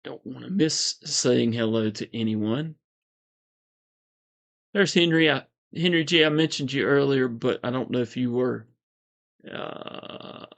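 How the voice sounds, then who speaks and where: A man with a calm, soothing voice, hosting a casual livestream, reading viewer comments in a relaxed, meandering way. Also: medium pitch, gravelly, highs cut off. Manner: relaxed, meandering, frequent fillers and soft chuckles. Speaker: livestream host.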